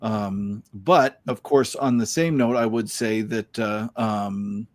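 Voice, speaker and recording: A man's voice: lowish voice, fairly even intonation, takes it slowly, no echo. Rambling man hosting, dry sound. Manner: rambling, many fillers and restarts, chuckles. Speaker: podcast host. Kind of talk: casual chat.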